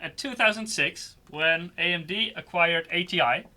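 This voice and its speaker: Very bright, forceful male livestream host. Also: high voice, normal speed. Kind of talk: casual livestream interview.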